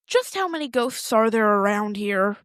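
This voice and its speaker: A woman's voice: mid-range voice, big pitch swings, moderate pace, fairly bright tone, smooth, no room sound, clean studio sound. A woman playing an anxious, squeamish, kind-hearted girl in an audio drama, rambling and hesitant as she blurts out questions. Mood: puzzled, wondering. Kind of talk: audio fiction acting, naturalistic.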